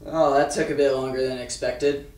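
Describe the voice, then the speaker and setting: A man's voice: medium pitch, little pitch movement, normal speed, darkish tone, a little hiss or hum, close to an everyday voice. Man playing an awkward discussion leader in an echoey room. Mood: casual, hesitant. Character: awkward, easygoing male book-discussion leader. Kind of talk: comic puppet show dialogue. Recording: echoey room, distant microphone.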